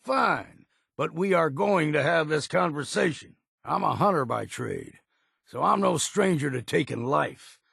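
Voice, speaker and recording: Gruff old island hunter guy, super gravelly n husky, folksy, stubborn and wary, dry close recording. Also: a little high, expressive, measured pace, a bit bright, no room sound, heavily acted. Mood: grudging. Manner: folksy rustic speech with dropped grammar and ain't. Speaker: game character voice actor. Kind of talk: video game dialogue acting.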